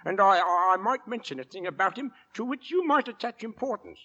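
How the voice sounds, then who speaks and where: Elderly, self-important gentleman witness, formal and stammering, lively sharp delivery, old radio drama. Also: high-pitched, a little fast, exaggerated voice. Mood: earnest. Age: older. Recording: dull 1940s radio, long reverb.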